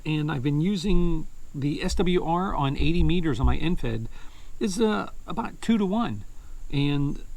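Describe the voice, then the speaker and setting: A man with a medium-pitched voice talks through a casual, unscripted review, recorded at some distance from the microphone. He thinks aloud and feels his way through his points hesitantly, like a hobbyist vlogger sharing opinions on gear. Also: a little mellow.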